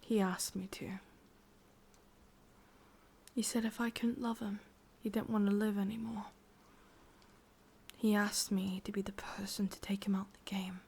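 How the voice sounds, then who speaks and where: Breathy whispering English witch, played by a woman. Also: mid-range voice, level tone. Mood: coolly confiding. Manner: soft, intimate whispering, teasing and unhurried. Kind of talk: whispered ASMR roleplay.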